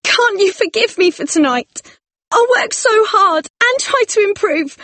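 Heartbroken young actress, way up high, begging and emotional. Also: male voice, expressive, measured pace, sharp tone, no room sound, exaggerated voice. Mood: pleading. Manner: high falsetto, emotional, pleading. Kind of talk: audiobook character voice.

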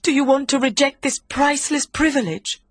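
A woman's voice: sits high, normal speed, no echo, clean studio sound, natural voice. An actress playing an anguished, defiant woman in an audio drama, speaking in a pleading, intense way.

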